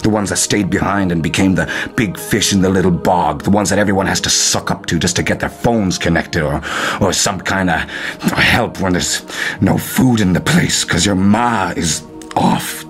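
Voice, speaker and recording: A man's voice: low voice, normal speed, faint music behind, natural voice. An actor playing a bitter, scornful, brooding man from Cork, with an Irish accent, in a radio drama. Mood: bitter, agitated. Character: bitter, scornful Cork-born man who escaped home. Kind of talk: naturalistic radio drama dialogue.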